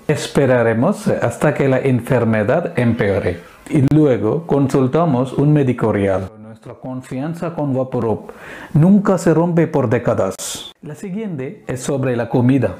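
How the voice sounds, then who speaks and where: A mellow-voiced man in his thirties presents to camera on a lapel mic. He has an Indian accent from his native Malayalam and speaks in halting, deliberate phrases. His pitch sits in a middle range for a man. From Kerala, India. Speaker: video host. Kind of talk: presenting to camera in a second language. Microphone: clip-on lapel mic.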